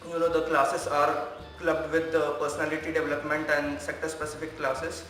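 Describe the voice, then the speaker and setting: Young Indian male student, even monotone delivery, strong echo. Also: on the high side, moderate pace. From Maharashtra, India. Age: 20s. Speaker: master's student giving a testimonial. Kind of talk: interview testimonial. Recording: very echoey room, faint music.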